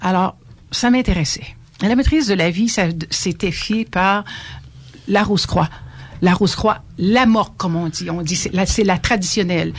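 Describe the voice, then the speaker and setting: A woman's voice: mid-range voice, normal speed. Earnest older woman on radio. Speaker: guest. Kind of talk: radio interview. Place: radio studio.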